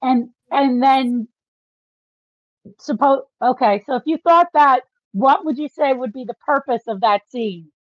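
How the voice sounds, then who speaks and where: A woman with a fairly high voice talks casually as a podcast co-host. She explains patiently in short steps, often checking that she is understood. The recording is dry and a little muffled. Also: measured pace, no room sound. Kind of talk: casual book discussion.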